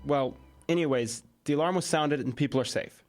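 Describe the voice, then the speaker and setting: A man's voice: fairly high pitch, a little fast, faint music behind, natural voice. A man playing an earnest, curious teenage schoolboy in an audio drama, with a questioning manner. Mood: thoughtful.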